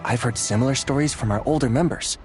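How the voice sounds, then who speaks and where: A man's voice: mid-range voice, fast talker, over music, natural voice. A man playing an earnest, respectful young soldier in an anime-style game, sincere and curious. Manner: sincere, polite.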